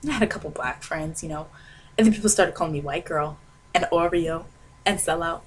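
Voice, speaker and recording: A woman's voice: low voice, brisk, noisy background. A young woman from Maryland, telling a dramatic, self-mocking comic rant to her webcam in a small bedroom. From Maryland, USA. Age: young adult. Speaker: vlogger. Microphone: webcam at desk.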